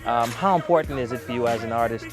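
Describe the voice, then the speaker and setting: A man's voice: medium pitch, fast talker, over music. A man, a fellow artist, asking an interviewee friendly, direct questions.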